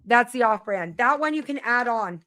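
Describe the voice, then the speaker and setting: A woman's voice: on the high side, lively intonation, normal speed, pushed and bright, dry recording. A woman hosting a casual live stream from her home, chatting and reading viewer comments aloud. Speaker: livestream host.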